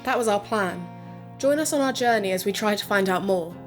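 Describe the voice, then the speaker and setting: An actress playing an earnest, excitable woman who co-hosts an investigative podcast in an audio drama. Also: mid-range voice, fairly quick, faint music behind, natural voice. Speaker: podcast co-host.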